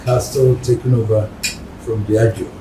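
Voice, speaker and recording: A man's voice: level tone, moderate pace. A man giving a formal spoken response to visitors. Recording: echoey room with loud rumbling noise.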